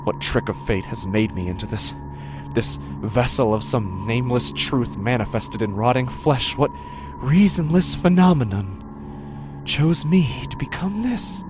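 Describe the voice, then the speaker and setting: A man playing an anguished, rotting living corpse in a horror audio drama, speaking haltingly, repeating himself and dropping into whispers. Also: medium pitch, expressive, close to an everyday voice. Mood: anguished questioning. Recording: music behind the voice. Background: music.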